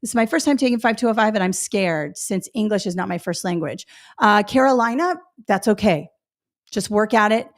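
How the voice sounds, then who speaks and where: A woman's voice: mid-range voice, expressive, brisk. A woman running a test-prep webinar, explaining reading instruction in a conversational, encouraging way with frequent fillers and check-ins. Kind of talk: live webinar teaching and reading chat questions.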